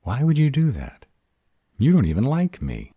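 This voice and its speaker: A man's voice: mid-range voice, huge pitch swings, normal speed, mellow, slightly rough, no echo, heavily acted. A man playing a sarcastic, insecure young vampire in an audio roleplay, with a drawling manner. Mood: incredulous, self-doubting.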